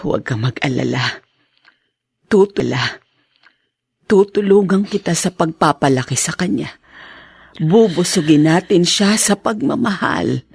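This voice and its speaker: Low, husky, comforting older mother. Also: expressive, a little slow, pauses often, a little mellow, dry recording, clean studio sound, heavily acted. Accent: Filipino. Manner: soothing, earnest. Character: tender, comforting older mother and new grandmother. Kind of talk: radio drama acting.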